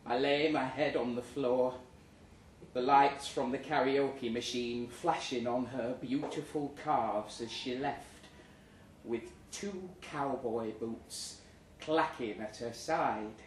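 Male live storyteller, comic and theatrical, slightly slow, roomy distant sound, faint noise. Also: medium pitch. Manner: theatrical, expressive, acting out the dialogue. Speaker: reader of a short story. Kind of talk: dramatic comic story reading to a live audience. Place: live reading in a pub room.

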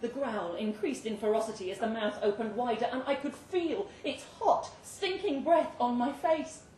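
A woman's voice: on the high side, moderate pace, dark tone. British woman reading a story, roomy echo. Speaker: story reader. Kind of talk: literary short story read aloud at a live event. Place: indoor live reading event venue. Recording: roomy live venue with faint background noise.

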